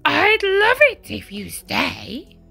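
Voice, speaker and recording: Storybook yeti, shy, lonely and kind, with a lively, bouncing voice, read by a woman. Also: sits high, takes it slowly, creaky, breathy edge, faint music behind, exaggerated voice. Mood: pleading.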